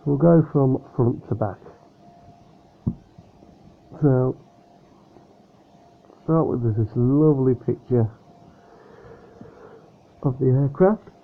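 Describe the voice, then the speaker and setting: Soft-spoken man on a tinny line. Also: mid-range voice, extremely slow, creaky, long pauses. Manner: speaking softly, hesitant with many fillers. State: slightly nasal and sniffly from a cold. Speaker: model aircraft unboxing vlogger. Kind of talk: casual unboxing chat. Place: room at home with a fan running. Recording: tinny phone-like sound with faint fan hum.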